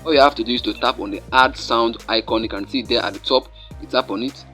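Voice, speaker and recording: A man's voice: medium pitch, quick pace, sharp tone, soft background music. A man narrating a phone app tutorial step by step, addressing viewers directly in an instructional way. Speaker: tutorial host.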